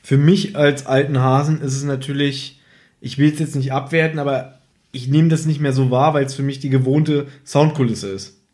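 A man's voice: medium pitch, steady tone, moderate pace, a little mellow. A man co-hosting a podcast, casually reviewing and retelling a story from his notes. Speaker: podcast co-host.

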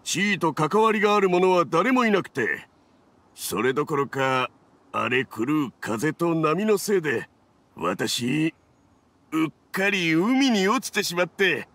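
A man's voice: a little high, very animated, slow pace, fairly bright tone, no room sound, exaggerated voice. An actor voicing an anxious, earnest young male subordinate in a video game, deferential, apologetic and easily flustered. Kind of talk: Japanese video game voice acting.

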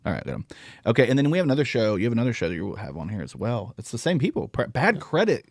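A man's voice: medium pitch, big pitch swings, rapid-fire, dry recording. A man in his thirties hosting a podcast, chatting casually and joking at his own expense on a studio mic. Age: 30s. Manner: joking, rambling, self-deprecating. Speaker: podcast host. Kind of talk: casual chat. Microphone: studio mic with headphones.

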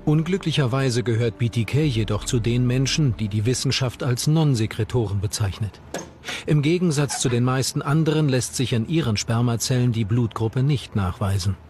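Male narrator for true-crime documentary, medium pitch, music underneath. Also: moderate pace, darkish tone. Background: background music.

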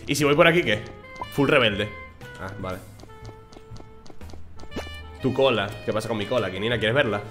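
A man's voice: on the low side, normal speed, long pauses, fairly bright tone, soft background music. A man in his thirties from Venezuela with a neutral Spanish accent, casually commenting on a game and joking while reading text aloud. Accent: neutral Spanish, faint Venezuelan. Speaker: gaming streamer. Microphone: headset.

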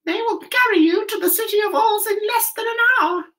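A woman voicing a tiny, kindly female mouse queen with a squeaky, very high voice. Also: brisk. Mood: kindly, helpful.

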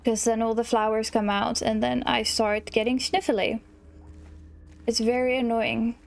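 A woman's voice: fairly high pitch, unhurried, vocal fry. Sniffly woman gaming. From South Africa. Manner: excitable, yelps and shrieks at enemies. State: sniffly, stuffy nose from allergies. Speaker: gaming YouTuber. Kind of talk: let's play commentary.

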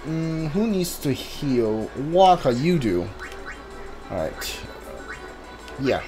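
A man's voice: on the high side, big pitch swings, slow pace, warm tone, faint music behind. A man giving casual let's play commentary, muttering reactions and reading item names aloud as he plays. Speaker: video game player streaming a playthrough.

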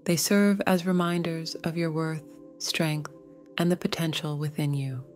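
A woman narrating a scripted guided meditation in a gentle, soothing, measured way. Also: sits low, steady tone, soft background music.

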